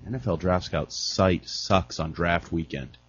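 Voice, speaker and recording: A man's voice: low-pitched, a little slow, breathy edge. A man on a casual sports talk panel, trading teasing, opinionated banter. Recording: dull lo-fi sound.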